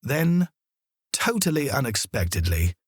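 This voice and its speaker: Lively male storyteller with a husky edge. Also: medium pitch, a little slow, no room sound, clean studio sound. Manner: dramatic, expressive storytelling. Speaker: narrator. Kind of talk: children's story narration.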